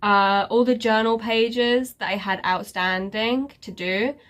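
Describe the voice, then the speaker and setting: Woman vlogger, slow and congested, sarcastic and rambling in a casual update. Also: on the high side, steady tone, a bit bright. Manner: sarcastic, self-mocking, rambling with many fillers. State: congested, stuffy nose. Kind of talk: casual life-update chat.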